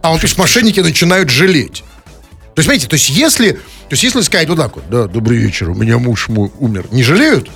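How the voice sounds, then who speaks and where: A man's voice: medium pitch, sing-song, fast, vocal fry, fairly bright tone, breathy edge, faint music behind. A man co-hosting a comic radio show, reading out listener messages and teasing about voices. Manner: playful, reads listener messages aloud, mimics voices.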